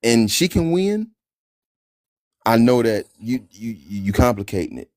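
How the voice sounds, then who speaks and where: A man's voice: medium pitch, sing-song, measured pace, a little mellow. A man calling in to a livestream, rambling and stammering as he argues. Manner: rambling, stammering, repeats words. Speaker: caller. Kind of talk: casual argumentative chat.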